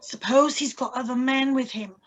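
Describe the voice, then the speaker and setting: A woman acts a timid, conscientious wife in a radio thriller. Her voice is high, with a worried, hesitant and pleading delivery. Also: moderate pace. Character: anxious, honest young wife, timid and worried. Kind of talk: radio play reading.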